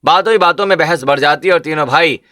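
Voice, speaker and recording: A man's voice: on the high side, quick pace, pushed and bright. A man reading scripted narration for a story, as the narrator.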